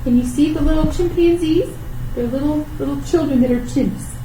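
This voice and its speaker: High-voiced woman leading children's storytime, echoey room. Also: moderate pace, warm tone. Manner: simple, encouraging talk addressed to small children. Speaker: library storytime host. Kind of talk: children's storytime, reading and teaching finger rhymes. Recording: roomy, distant microphone with background noise.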